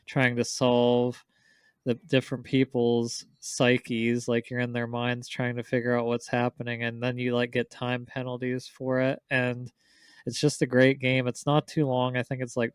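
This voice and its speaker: A man's voice: mid-range voice, completely flat delivery, unhurried, clean tone, no echo. A man co-hosting a podcast, chatting casually about his opinions. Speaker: podcast co-host. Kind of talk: casual chat.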